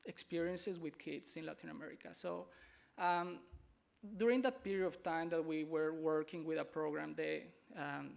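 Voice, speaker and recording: A man from Colombia with a Colombian Spanish accent, a native Spanish speaker, giving a conference presentation in a halting way, searching for words and repeating himself. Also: on the high side, creaky, a little mellow. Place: conference hall.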